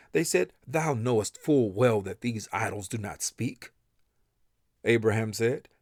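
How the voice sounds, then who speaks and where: A man's voice: mid-range voice, slightly rough, no room sound, studio quality. A man reading translated scripture aloud with a solemn, emphatic and dramatic delivery. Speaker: reader of scripture. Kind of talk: expressive scripture recitation in English translation.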